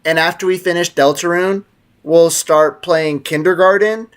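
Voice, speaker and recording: A man's voice: a little high, steady tone, normal speed. A man live streaming a video game, chatting casually and offhandedly with viewers and joking in slang. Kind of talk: casual live stream chat and gameplay commentary, reading out chat. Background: game music.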